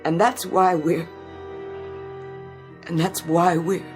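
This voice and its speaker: A kindly, anxious woman in a radio drama. Her voice is on the low side and very unhurried. She speaks softly and hesitantly, with a gentle, grateful manner. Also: flat delivery, over loud music. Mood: fearful, faltering. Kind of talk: audio drama acting.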